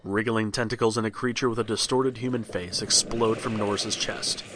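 A man's voice: lowish voice, steady tone, normal speed, a bit bright, slight background noise. A man reading a scripted storybook narration for a read-along record.

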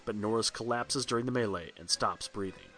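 A man's voice: lowish voice, a little fast, a bit bright, faint music behind. A man reading a scripted storybook narration for a read-along record.

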